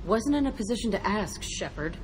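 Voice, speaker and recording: An actress playing an embittered, tough young ex-prisoner in a video game, blunt, terse and profane. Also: female voice, mid-range voice, expressive, a little fast, over music, lightly acted. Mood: bitter, guarded. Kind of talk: video game dialogue acting.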